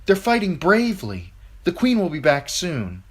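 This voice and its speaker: A man voicing a frightened young noble girl who tries to calm a crowd. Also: sits high, normal speed, husky, voice pushed up, full character voice. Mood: reassuring. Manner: earnest, trying to soothe.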